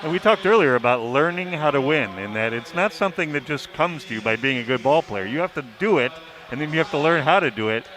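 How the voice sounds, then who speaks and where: A man's voice: fairly high pitch, animated, quick pace, hardly any pauses. A man chatting casually as a sports writer on a panel, repeating phrases and posing rhetorical questions. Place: large indoor arena. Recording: noisy arena ambience behind.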